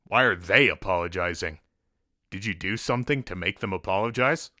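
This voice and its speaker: A man's voice: low-pitched, fairly quick, bright, forceful tone, no room sound, studio quality. A man playing a stressed, stubborn cartoon echidna with a blunt, defensive manner in a fan audio drama. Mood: subdued.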